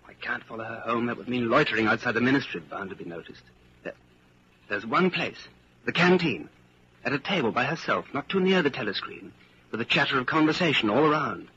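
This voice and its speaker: A suspicious, fearful man in a vintage radio drama. His voice is bright and tense with wide swings of intonation, on a scratchy, dull old recording. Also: mid-range voice, animated, fairly quick. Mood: anxious, brooding. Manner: tense, brooding, urgent. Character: anxious, suspicious male office worker under a regime. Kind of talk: 1940s radio acting. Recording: scratchy, muffled 1940s radio broadcast.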